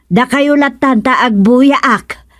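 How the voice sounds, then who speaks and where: A woman's voice: sits high, slow, fairly bright tone, no echo, clean studio sound. An actress playing a chatty, nosy village woman in an Ilocano radio comedy drama, exclaiming and muttering gossip. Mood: amused, curious.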